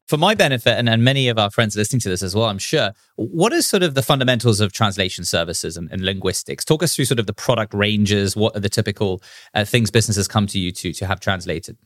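A man's voice: lowish voice, lively intonation, quick pace, fairly bright tone, no echo, studio quality. A man with a British accent hosting an interview, asking curious questions and prompting with short hums. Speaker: podcast host. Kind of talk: interview conversation.

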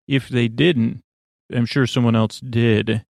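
A man hosting a bedtime podcast in a creaky voice, delivering a halting, meandering monologue meant to lull listeners to sleep. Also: medium pitch, steady tone, a little mellow, dry recording, clean studio sound. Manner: meandering, halting, drifts off topic. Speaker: podcast host.

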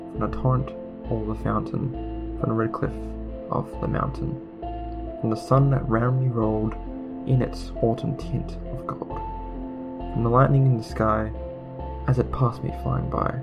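A man reciting poetry solemnly, in short, deliberate, measured phrases. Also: medium pitch, gravelly, highs cut off. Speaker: poetry reader. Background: plucked guitar music.

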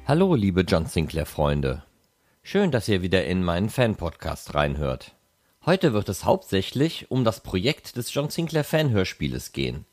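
A man hosts a podcast with a low voice. He delivers scripted narration with lively, varied intonation, moving freely up and down in pitch. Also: moderate pace. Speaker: podcast host.

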